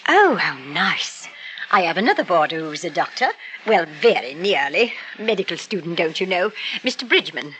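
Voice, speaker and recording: A woman's voice: fairly low pitch, sing-song, normal speed, fairly bright tone, slight background noise, rough recording. A gushing genteel landlady. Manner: gushing, prim, refined airs. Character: fussy genteel London landlady, widow. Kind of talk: 1940s radio acting.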